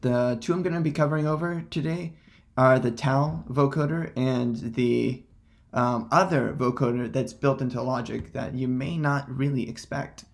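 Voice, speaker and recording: A man presenting a casual software tutorial in hesitant, halting, unscripted phrasing. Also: medium pitch, steady tone, vocal fry.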